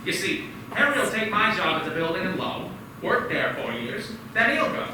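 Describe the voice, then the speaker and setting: A man's voice: high-pitched, big pitch swings, normal speed. An actor playing a restless young man who dreams of leaving his small town, earnest and eager, sometimes stammering. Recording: echoey room, far from mic, noisy.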